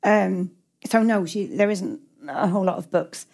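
A woman's voice: mid-range voice, a little slow, vocal fry, darkish tone. A middle-aged woman with an English accent giving reflective interview answers as a writer, hesitant and often repeating and restarting her words. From England.

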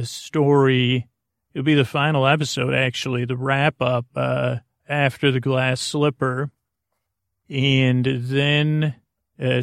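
A middle-aged American man mumbles through a halting, meandering bedtime podcast monologue, trailing off mid-thought in a gravelly voice. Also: medium pitch, no echo, clean studio sound. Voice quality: gravelly, creaky. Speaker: podcast host. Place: small closet, very dry sound.

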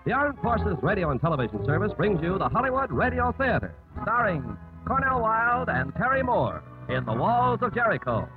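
A man's voice: high-pitched, big pitch swings, normal speed. A man announcing a radio theater programme in a formal 1950s broadcast style. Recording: narrow old radio broadcast, loud music.

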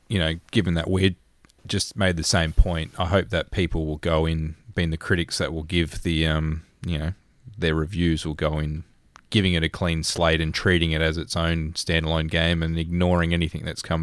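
Male podcast co-host, very low pitch, dry sound, thoughtful, conversational. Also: little pitch movement, moderate pace, hardly any pauses, no echo. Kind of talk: casual gaming discussion.

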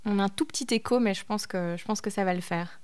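A woman's voice: medium pitch, fast, a little mellow, clean tone. A woman hosting a podcast, speaking in an informal, conversational way as she presents and interviews. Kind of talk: scripted introduction and casual phone interview.

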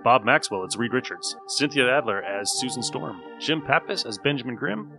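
A man's voice: medium pitch, animated, normal speed, over music. A man hosting a podcast, giving a casual, folksy, chatty introduction. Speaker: podcast host.

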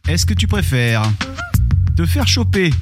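A man's voice: fairly high pitch, big pitch swings, a little slow, music in the background. A man hosting a playful would-you-rather game, teasing and upbeat. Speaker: host. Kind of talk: playful game-show banter.

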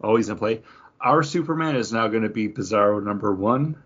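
A man with a low, animated voice chats casually as a co-host, moving between banter and opinion. His tone is a little husky. The recording sounds dull and lo-fi. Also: a little fast. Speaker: podcast co-host. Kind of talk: casual banter and review chat.

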